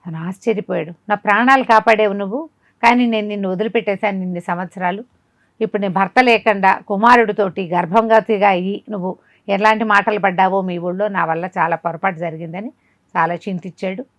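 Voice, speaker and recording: A woman's voice: mid-range voice, fairly even intonation, brisk, no echo. Woman storyteller, close dry sound. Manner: conversational, asks rhetorical questions as she narrates. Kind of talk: informal spoken telling of a folk tale.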